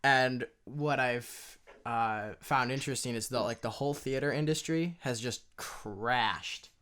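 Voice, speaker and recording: Smooth-voiced easygoing male host. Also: medium pitch, unhurried, a bit bright. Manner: easygoing, laughs often, hesitant with fillers. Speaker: podcast host. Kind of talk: casual conversation.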